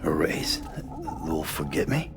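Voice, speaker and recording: A gruff, guarded courier hero from a video game cutscene, speaks in short, understated phrases. His voice is extremely deep and full of gravel. Loud music runs behind him. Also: sing-song, slow, in a whisper, full character voice. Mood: worried. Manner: terse, low-key.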